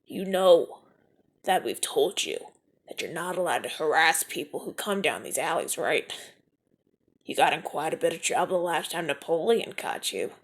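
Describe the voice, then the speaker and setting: A suave mafia enforcer, played by a man in an audio roleplay, charming and teasing the listener. His delivery swings widely in pitch, from lively calls down to close, hushed whispers. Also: moderate pace. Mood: stern. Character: suave smooth-talking male mafia enforcer. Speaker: mafia man rescuing a newcomer.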